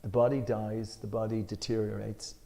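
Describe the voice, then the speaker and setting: A man with an Irish accent leading a scripture discussion, reading a commentary aloud with emphasis and then explaining it in a relaxed way, with some fillers. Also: lowish voice, normal speed, creaky.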